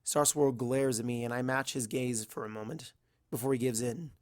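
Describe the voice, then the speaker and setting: A man's voice: medium pitch, steady tone, normal speed, a little mellow. A man reading a first-person story aloud as scripted narration. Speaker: fan fiction reader.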